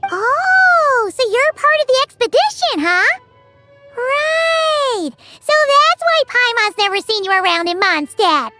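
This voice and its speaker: A woman voicing a small, cheeky, excitable fairy companion with a childlike, exclamatory way of talking in a video game. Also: extremely high pitch, slow pace, over loud music, heavily acted. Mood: puzzled. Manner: speaks of self in third person, exclamatory. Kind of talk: video game character dialogue.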